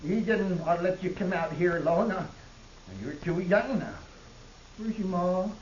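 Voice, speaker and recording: Casting: bewildered, childlike old Western pa, high voice, wandering pleas, echoey old radio sound. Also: male voice, a little slow, a little mellow, highs cut off, slight background noise. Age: older. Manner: wandering, repetitive, pleading. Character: confused, rambling old frontier father, helpless and childlike. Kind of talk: 1950s radio western acting.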